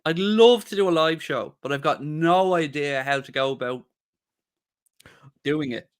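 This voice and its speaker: A man's voice: on the high side, measured pace, clean tone. Blunt, swearing male podcast host. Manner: blunt, swears freely, defiant. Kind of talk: casual podcast chat.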